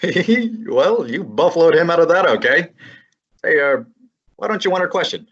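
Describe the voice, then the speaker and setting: A woman playing a breezy, sarcastic young male assistant detective in a student radio drama, with a husky voice. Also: fairly low pitch, expressive, moderate pace. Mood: wisecracking. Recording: dull lo-fi recording.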